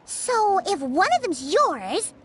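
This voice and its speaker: A woman voicing a tiny, excitable, chatty childlike fairy companion in a video game, squeaky and full of exclamations. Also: slow pace, a little hiss or hum, exaggerated voice. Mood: thoughtful. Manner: bubbly, exclamatory, refers to self by name. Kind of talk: animated video game dialogue.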